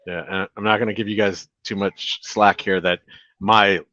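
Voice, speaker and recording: A man hosting a casual video-call interview from a beach house, relaxed and chatty with filler words. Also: fairly low pitch, normal speed, no room sound. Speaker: show host. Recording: dry, dull lo-fi audio.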